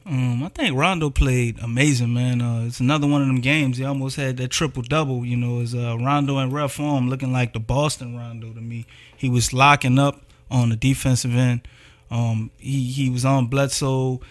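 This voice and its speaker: A man's voice: mid-range voice, steady tone, moderate pace. A man co-hosting a sports podcast, chatting casually with slang and lots of "like" and "man.".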